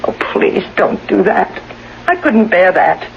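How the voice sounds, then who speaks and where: Bitter sick wife in an old radio play, suspicious and accusing, slow delivery, muffled hissy sound. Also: female voice, mid-range voice, big pitch swings, gravelly, heavily acted. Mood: despairing, accusing. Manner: accusing, terse, wounded. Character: bitter, suspicious invalid wife, weak and despairing. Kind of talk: radio drama acting.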